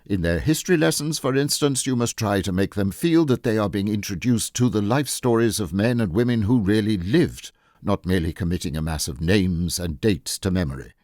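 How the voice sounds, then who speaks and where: A man's voice: on the low side, a little fast, darkish tone, no room sound, clean studio sound, lightly acted. A man reading the part of a pompous, fussy, autocratic but easily flustered wealthy Edwardian matron in a storybook. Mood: pompous, instructing. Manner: pompous, fussily insistent.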